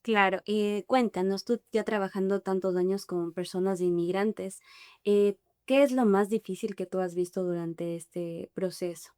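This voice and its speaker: A woman's voice: mid-range voice, fairly even intonation, unhurried, mellow, clear, resonant tone, dry recording, clean studio sound. A woman hosting a podcast interview, asking friendly questions to prompt her guest. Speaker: podcast host.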